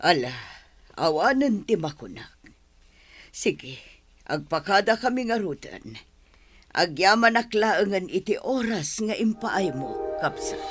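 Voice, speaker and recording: A woman playing a worried, gently reproachful middle-aged mother, imploring and concerned, in an audio drama. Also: mid-range voice, big pitch swings, a little slow, faint music behind. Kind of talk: Ilocano radio-style audio drama acting.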